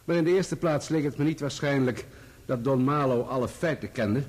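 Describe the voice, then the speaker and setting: An actor in a radio play playing a defensive journalist on the witness stand, giving formal, guarded answers. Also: male voice, mid-range voice, moderate pace, darkish tone, lightly acted. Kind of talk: courtroom radio play acting.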